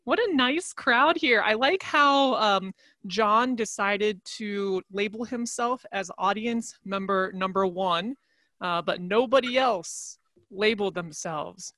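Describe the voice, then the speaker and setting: A woman comedian and science communicator doing stand-up from home on a video call, chatty and upbeat with quick asides. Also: mid-range voice, sharp tone, no echo. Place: home during quarantine. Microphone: computer mic on a video call.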